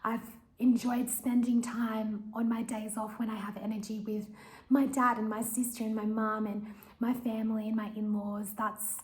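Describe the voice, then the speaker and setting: A woman's voice: fairly high pitch, little pitch movement, normal speed. Reflective woman in an echoey room. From Australia. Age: 30s. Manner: soft, reflective, candid. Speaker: vlogger. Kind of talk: personal video diary.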